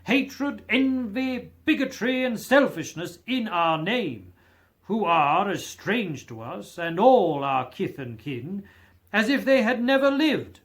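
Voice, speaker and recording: Man voicing a gigantic hearty spirit, loud and emphatic. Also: sits high, big pitch swings, takes it slowly, full character voice. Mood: stern, indignant. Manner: emphatic, declamatory. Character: gigantic hearty male spirit, generous, booming and indignant.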